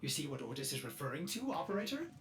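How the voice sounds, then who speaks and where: An actor playing a servile, quirky ship AI in a video game, formally polite with a snide edge. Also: male voice, fairly high pitch, very animated, fast. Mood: pointed. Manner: formal third-person politeness with snide edges. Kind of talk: video game character acting. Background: loud music.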